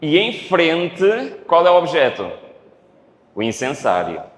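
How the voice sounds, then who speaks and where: A man's voice: fairly high pitch, huge pitch swings. A man from Portugal with a European Portuguese accent, preaching a Bible study and asking the audience questions. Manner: unhurried, asks the audience questions. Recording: echoey hall.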